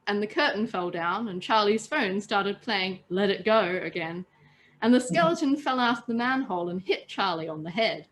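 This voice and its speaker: A woman's voice: medium pitch, lively intonation, moderate pace, fairly bright tone, slight background noise, rough recording. Woman reading a story aloud. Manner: narrating with occasional stumbles and self-corrections. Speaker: storyteller and podcast host.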